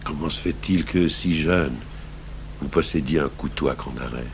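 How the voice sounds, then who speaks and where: An actor playing a calm, patient, fatherly police detective in a French-language radio drama, speaking with measured courtesy. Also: very deep voice. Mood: gentle, grave. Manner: measured, courteous questioning and recounting. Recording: humming, noisy old radio tape.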